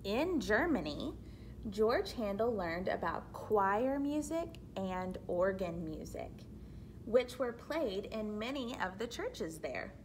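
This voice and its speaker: Slow, lively woman reading to children. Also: mid-range voice, slight background noise, low-quality recording. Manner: simple teacherly explanations, expressive reading. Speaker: reader of a children's book. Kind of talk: read-aloud storytelling with explanatory asides for children.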